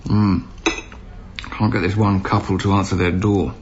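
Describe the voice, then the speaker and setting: An actor playing an earnest, harried young British council housing officer in a naturalistic radio drama. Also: male voice, sits low, little pitch movement, unhurried. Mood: wry, weary. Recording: muffled radio recording, noisy ambience.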